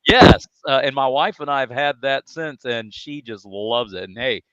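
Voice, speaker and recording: A man's voice: mid-range voice, moderate pace, fairly bright tone, clean tone, no echo. Enthusiastic American man, dry slightly dull sound. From United States. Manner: enthusiastic, effusive, polite. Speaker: podcast guest, data speaker. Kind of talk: casual conversational storytelling.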